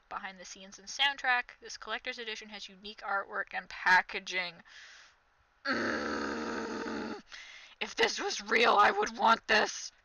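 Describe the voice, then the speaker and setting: A woman's voice: fairly high pitch, creaky, bright, forceful tone, dry recording, poor audio. A young woman doing sarcastic reaction commentary, reading text aloud and mocking it with exasperation, in a somewhat nasal voice. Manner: mocking, exasperated, reads aloud then jabs. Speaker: commentary vlogger.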